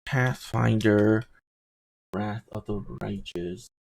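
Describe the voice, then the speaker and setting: A man's voice: lowish voice, steady tone, slow pace, vocal fry, darkish tone, a little hiss or hum. A man giving casual gameplay commentary, thinking aloud in a hesitant, musing way and often trailing off between thoughts. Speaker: video game streamer.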